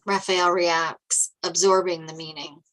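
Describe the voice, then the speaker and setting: A woman narrator reads screenplay directions aloud. Her voice is fairly low for a woman but bright and forceful in tone. The delivery is scripted narration, setting scenes and describing action. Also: flat delivery, measured pace, a little hiss or hum, low-quality recording.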